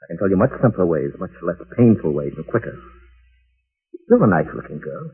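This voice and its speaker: An actor playing an obsessed, resentful professor in a 1940s radio drama, on an old, tinny broadcast. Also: male voice, deep voice, big pitch swings, brisk, husky, exaggerated voice. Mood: coaxing. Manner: agitated, insistent. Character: obsessed middle-aged male professor, resentful and unstable.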